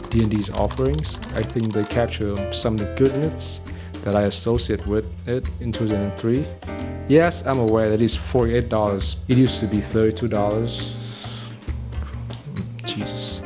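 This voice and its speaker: A young man from Vietnam with a Vietnamese accent, reviewing restaurants in a conversational, opinionated way with wry asides. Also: mid-range voice. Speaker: food reviewer. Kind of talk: food review monologue.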